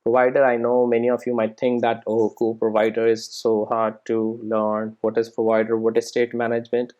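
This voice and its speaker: A man with a mid-pitched voice presents an informal programming tutorial. He explains step by step in a conversational way, often ending his sentences with a quick check-in question to the learner. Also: monotone, darkish tone, dull audio. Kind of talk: informal screencast coding tutorial.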